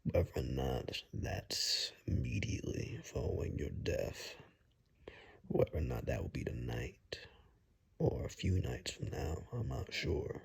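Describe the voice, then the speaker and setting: A man playing a wary, blunt woodsman guide in a hushed ASMR roleplay, speaking in a husky, halting, matter-of-fact near-whisper. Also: extremely low pitch, animated, full character voice. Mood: uneasy, grave. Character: wary, blunt male woodsman guide who knows monsters.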